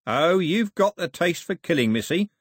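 A man's voice: a little high, animated, moderate pace, slightly rough, no room sound, studio quality, voice pushed up. A man voicing a brash, mocking villainous raider with a snarling, coarse way of talking in an audiobook story. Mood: sneering, menacing. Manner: snarling, complaining, coarse colloquial talk.

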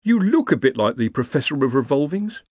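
A fretful, weary veteran wizard, acted by a man, fussing and coaxing with a lively, swooping pitch. The recording is close and dry. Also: fairly quick, no echo.

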